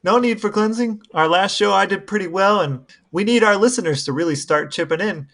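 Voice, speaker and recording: A man's voice: high voice, brisk, a bit bright. A man co-hosting a podcast in a casual, chatty style. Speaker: podcast co-host. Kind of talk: casual chat.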